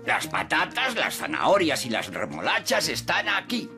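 A woman's voice: deep voice, huge pitch swings, normal speed, bright, forceful tone, faint music behind, exaggerated voice. A woman voicing a fussy, proud old grandpa pig in a dubbed cartoon, grumbling and stubborn. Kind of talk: cartoon dubbing.

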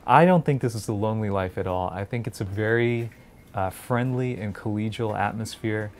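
A man's voice: lowish voice, moderate pace. A man, earnestly talking to camera in an indoor research lab on a lapel mic. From India, raised in New Jersey. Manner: earnest, encouraging. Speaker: pediatric clinical fellow.

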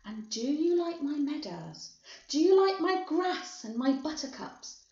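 A woman's voice: high-pitched, sing-song, a little slow, dark tone, voice pushed up. A woman voicing an eccentric, boastful male candy factory owner in a storybook, theatrical and full of emphatic exclamations. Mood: excited, proud. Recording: muffled, boxy echoing room.

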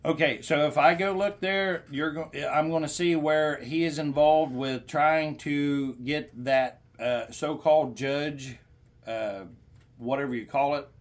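A middle-aged man making a confrontational complaint as a caller, speaking in a halting, insistent, lecturing way, recorded in a small room. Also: fairly high pitch, fairly even intonation. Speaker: caller to a senator's office.

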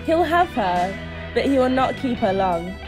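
Woman acting a high-voiced knowing verse narrator over guitar. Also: sing-song, measured pace. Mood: knowing, theatrical. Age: young adult. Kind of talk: theatrical verse commentary in audio drama. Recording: guitar music behind the voice.

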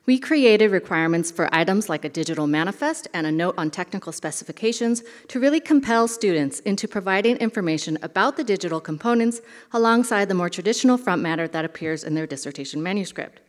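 A woman giving a short conference presentation from a lectern, in a brisk, plainspoken way. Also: lowish voice, animated. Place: large hall, at a lectern. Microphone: podium microphone. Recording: hall reverb.

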